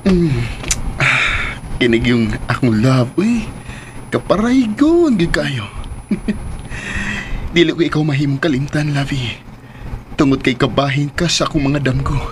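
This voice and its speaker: An actor playing a devoted, ambitious sweetheart with big dreams in a radio drama. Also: male voice, a little high, expressive, takes it slowly, long pauses, background noise. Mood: tender, reassuring. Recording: music behind the voice.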